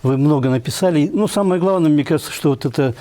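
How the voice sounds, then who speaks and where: Mellow-voiced male interview host. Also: a little high, fast. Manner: brief, polite prompts. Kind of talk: interview hosting.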